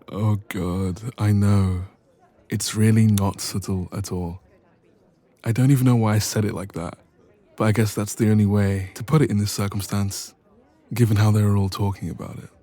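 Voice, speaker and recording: A man's voice: fairly low pitch, steady tone, unhurried, pauses often, warm tone. A man playing a bashful, evasive best friend with a secret crush in an intimate romance audio roleplay. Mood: embarrassed, hesitant. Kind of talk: romance ASMR roleplay, intimate one-sided conversation.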